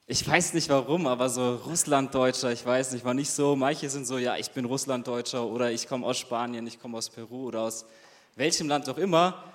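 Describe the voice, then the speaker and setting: A man's voice: medium pitch, a little fast. Chatty young man in a reverberant hall. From Germany. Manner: casual, chatty, many fillers and rhetorical questions. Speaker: youth group leader. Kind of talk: interactive talk to a youth group.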